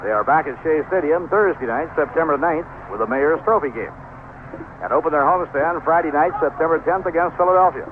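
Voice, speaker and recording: A man's voice: fairly high pitch, lively intonation, fairly quick. An American man calling baseball play-by-play as a live radio commentator. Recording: narrow, noisy 1970s AM radio broadcast.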